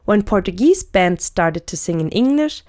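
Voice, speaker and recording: A woman narrating a documentary in an even, measured, descriptive way. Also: medium pitch. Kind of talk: scripted narration.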